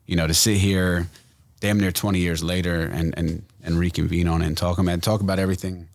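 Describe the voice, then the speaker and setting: A man hosting a casual podcast interview, animated and slangy, swearing and saying "yo" a lot. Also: deep voice, brisk, gravelly, no echo. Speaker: podcast host.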